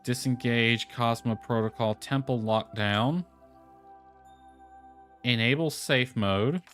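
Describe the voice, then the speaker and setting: A man's voice: mid-range voice, slow pace, a bit bright. A man livestreaming a video game, talking casually to himself and reading game text aloud. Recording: music playing behind the voice. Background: lo-fi music.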